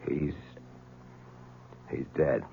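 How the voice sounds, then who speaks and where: An actor playing an anxious American man who pleads urgently, in 1970s radio drama style. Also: very slow. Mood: shaken. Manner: urgent, imploring. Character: anxious, pleading man trying to calm a gunman. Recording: muffled old radio recording with hum.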